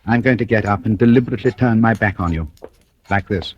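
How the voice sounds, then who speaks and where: An actor playing a suave, mocking, cold-blooded British blackmailer in an old-time radio thriller, speaking with urbane deliberation. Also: male voice, fairly low pitch, fast talker, dull audio, natural voice. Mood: smug, taunting. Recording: noisy vintage radio recording with clicks.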